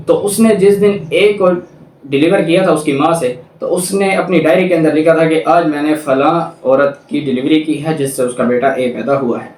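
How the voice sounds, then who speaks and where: A man's voice: on the high side, a little mellow, slight background noise. Male Pakistani law lecturer, methodical explainer, heard in a very echoey room at some distance from the mic. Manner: methodical, didactic, explains with everyday examples. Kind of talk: explanatory lecture, reading statute clauses aloud then explaining.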